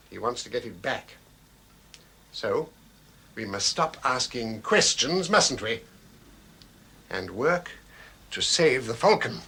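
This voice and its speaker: Sly patronising shopkeeper guy, bright voice, swings up and down a lot. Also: mid-range voice, full character voice. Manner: patronising, smooth-talking. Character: scheming shopkeeper man feigning kindness. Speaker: villain.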